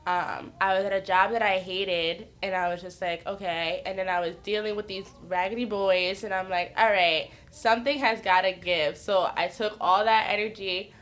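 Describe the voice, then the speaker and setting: A woman's voice: medium pitch, steady tone, moderate pace, hardly any pauses, a bit bright. A woman in her twenties from the northeastern United States, a podcast guest telling frank personal stories, laughing often and acting out what others said. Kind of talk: candid casual chat.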